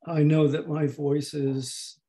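A man in his eighties lecturing slowly over a video call, with a hoarse, gravelly voice that is not fully distinct and that often pauses and restarts. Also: fairly high pitch, flat delivery, warm tone. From United States. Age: 80s. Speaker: professor emeritus giving a talk. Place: home room at a desk. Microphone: webcam on a video call.

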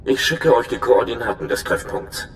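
Ein Schauspieler als ernster, berechnender Informant und Spion in einem Videospiel, der eindringlich erklärt. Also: male voice, mid-range voice, very animated, normal speed, natural voice. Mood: scheming. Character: earnest, scheming male spy informant. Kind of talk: dramatic video game dialogue in German. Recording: echoey, noisy hologram transmission.

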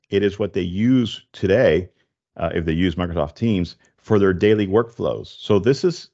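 Very low-pitched man explaining tech casually. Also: a little mellow, muffled recording. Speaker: tech show host. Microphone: desk condenser microphone, close, with headset.

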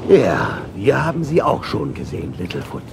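An actor playing a kindly old grandfather dinosaur with a gravelly voice in a children's cartoon audio drama. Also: sits low, wildly swooping pitch, normal speed, noisy background, exaggerated voice.

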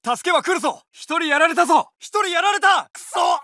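An actor playing a fierce, dutiful Japanese World War Two soldier with an urgent, clipped military delivery, in video game combat lines. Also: male voice, extremely high pitch, lively intonation, fairly quick, sharp tone, no room sound, studio quality, full character voice.

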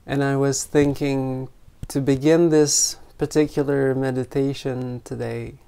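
Very slow, creaky-voiced meditation teacher, sex unclear. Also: mid-range voice, level tone, dark tone. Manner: unhurried, with long pauses between short phrases. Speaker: meditation teacher leading an online retreat. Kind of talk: guided meditation, gentle spontaneous talk.

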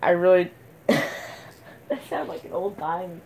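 A man's voice: high voice, expressive, takes it slowly, vocal fry, darkish tone, a little hiss or hum. A teenage boy chatting casually on his vlog in a cluttered bedroom, playful and rambling, filming himself on a phone at arm's length. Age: teens. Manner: playful, rambling, hums filler sounds. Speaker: vlogger.

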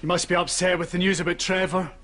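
A man playing a polite, sympathetic younger visitor in a sitcom, gentle in manner. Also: sits high, level tone, fast, a little hiss or hum. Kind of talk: light sitcom acting.

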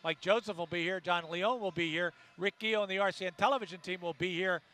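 Bright-voiced older sports announcer at courtside. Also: male voice, high voice, fairly even intonation. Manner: brisk reading of stats and promos. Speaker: play-by-play announcer. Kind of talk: broadcast sports wrap-up. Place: courtside desk in an arena. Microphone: headset mic.

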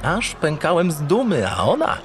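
An actor playing a naive, self-satisfied, doting spaceship captain who narrates a comic radio drama in an earnest, theatrical, pompous way. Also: male voice, on the high side, huge pitch swings, moderate pace, soft background music. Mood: proud, doting.

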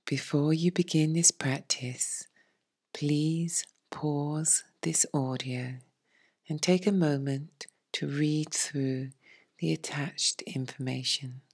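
A woman leading a guided meditation as a teacher, in a soft, breathy, hushed and soothing voice with long pauses. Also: low voice. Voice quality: soft, breathy, near-whispered. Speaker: yoga nidra meditation teacher.

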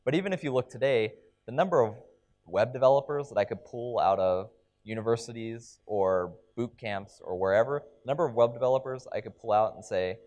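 A man's voice: mid-range voice, brisk, a little mellow. A young man giving a conference keynote on a large stage into a headset mic, explaining ideas with frequent ums and restarts. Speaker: keynote speaker.

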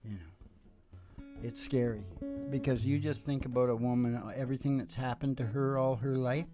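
A man's voice: medium pitch, fairly even intonation, normal speed. An older man in casual, rambling, jokey stage banter as a music show host, speaking into a stage microphone. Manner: rambling, jokey, with frequent chuckles. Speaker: host of a music podcast and singer. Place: small curtained indoor stage. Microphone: stage microphone on a stand. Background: acoustic guitar.

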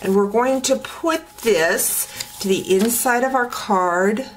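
A woman teaching card making step by step at her craft table, talking in a relaxed way with many ums and uhs. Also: fairly high pitch, slight background noise. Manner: slow, relaxed talk with frequent ums and uhs.

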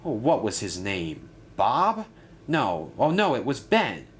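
A man's voice: mid-range voice, huge pitch swings, slow pace, a little hiss or hum. A man voicing a nervous male runaway diesel engine that stammers and pleads. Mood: pleading.

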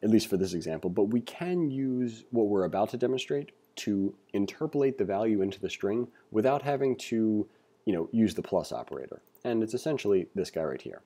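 A man giving a read-along tutorial as an instructor, reading aloud and adding informal asides as he thinks out loud. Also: on the low side, animated, a little fast, husky. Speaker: programming instructor. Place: indoors, screen-recording voice-over.

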